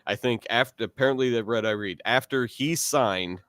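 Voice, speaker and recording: A man's voice: medium pitch, moderate pace, fairly bright tone, dry recording. A man co-hosting a casual sports podcast, rambling through his points with restarts. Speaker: podcast co-host, coach.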